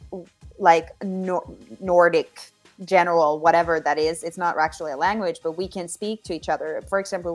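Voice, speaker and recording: A Finnish-accented woman singer-songwriter answering interview questions from home, often pausing and restarting as she searches for words. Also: on the low side. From Finland. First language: Finnish or Swedish. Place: home with her dogs.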